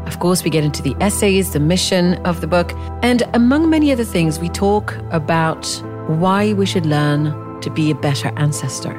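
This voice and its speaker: A woman with a fairly low voice speaks as a podcast host. She introduces and leads an interview, with clearly audible music in the recording. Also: lively intonation, moderate pace, hardly any pauses.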